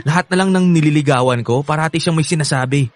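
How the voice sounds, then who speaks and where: A man's voice: on the high side, very animated, normal speed, dry recording. An actor playing an affectionate, eager young man with a Filipino accent in a radio drama, chatty and earnest. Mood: fond, playful. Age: young adult. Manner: earnest, chatty, laughs often.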